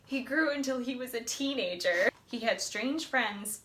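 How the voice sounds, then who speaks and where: A woman reading a picture book aloud with expressive storytelling. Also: a little high, measured pace. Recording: roomy, echoey sound with faint noise.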